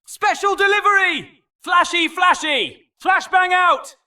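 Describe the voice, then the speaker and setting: A man playing a flamboyant, excitable arena combatant in a video game, throwing out exuberant, punchy callouts in short bursts. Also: very high voice, slow, full character voice. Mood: excited, urgent.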